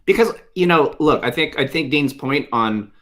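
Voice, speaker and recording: A man's voice: fairly high pitch, lively intonation, normal speed, a bit bright. A man giving casual sports analysis as a panelist, rephrasing himself as he reasons it out. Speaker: guest panelist on a sports show.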